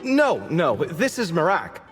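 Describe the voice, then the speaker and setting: A woman voicing an excitable, cheeky young video game character who gets flustered easily. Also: lowish voice, takes it slowly, heavily acted. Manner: exclamatory, stammering when flustered. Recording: long reverberant hall sound. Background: game music.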